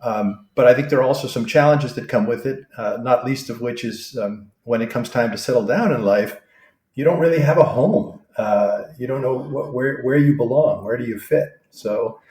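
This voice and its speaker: A man in his sixties answering interview questions in a thoughtful, halting way, with many fillers and restarts. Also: mid-range voice, a little mellow. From born in Vietnam, raised abroad. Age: 60s. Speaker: guest. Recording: roomy echo.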